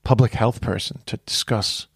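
A man's voice: lowish voice, steady tone, moderate pace, slightly rough, dry recording. A man in his forties with an American accent hosting a podcast in casual chat, rambling with frequent restarts. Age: 40s. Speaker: podcast host.

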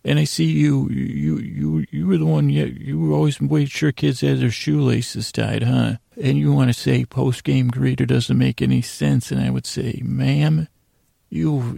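A man with a deep-leaning, heavily creaky voice delivers a bedtime monologue. His tone is soft-edged and dark, and he wanders haltingly from one half-finished sentence to the next, as the host of a sleep podcast. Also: dry recording, studio quality. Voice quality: gravelly, creaky. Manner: meandering, halting, trailing off mid-sentence.

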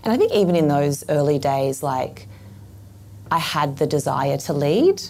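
A woman in her thirties with a croaky voice, reflecting thoughtfully on her past. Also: low-pitched, lively intonation, normal speed, a little mellow, a little hiss or hum. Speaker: guest. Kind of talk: reflective conversation.

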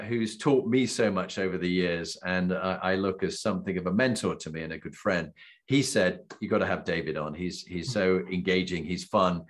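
A man with a lowish voice hosts a conversational interview. He speaks in an effusive, complimentary way, as an interviewer drawing out a guest. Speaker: interviewer and host. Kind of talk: warm-up interview chat.

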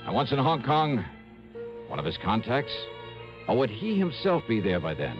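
A man's voice: medium pitch, wildly swooping pitch, moderate pace. An actor playing a wry, hard-boiled male investigator in an old radio detective drama. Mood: brooding, narrating. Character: wry, dogged male insurance investigator hero. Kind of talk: 1950s-60s radio detective acting and narration. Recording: dull vintage radio recording, music behind.

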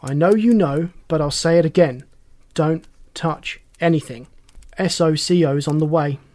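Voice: mid-range voice, measured pace, darkish tone, close to an everyday voice. A narrator voicing a commanding, wry male police inspector in an audiobook, folksy and teasing with pointed questions. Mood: brisk, commanding.

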